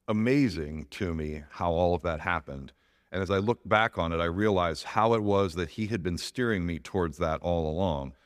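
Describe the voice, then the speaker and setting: A middle-aged man with a big, lowish voice gives a heartfelt personal testimony to his congregation. He speaks earnestly, restarting phrases as he goes, and the sound carries a long reverberant tail from the church hall. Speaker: church elder giving a testimony.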